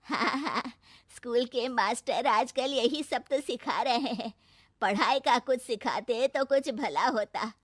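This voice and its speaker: A woman voicing a traditional old village mother-in-law in an animated story, with a sarcastic, drawn-out manner. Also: on the high side, dry recording, clean studio sound. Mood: sarcastic, stern. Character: scolding, traditional old village mother-in-law, tender toward her son. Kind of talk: animated moral story acting.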